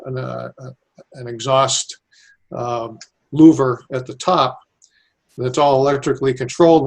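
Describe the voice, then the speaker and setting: Male interviewee, engineer type. Flat intonation, mid-pitched, explaining things informally and methodically. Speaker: civil engineer, airport designer being interviewed.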